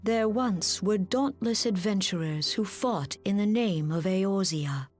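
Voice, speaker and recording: Slow, solemn woman mystic leader. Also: medium pitch, expressive, darkish tone, soft background music. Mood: solemn reverence. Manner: measured, formal, archaic diction. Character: serene, solemn female mystic leader, wise and grave. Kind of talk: scripted fantasy game cutscene acting.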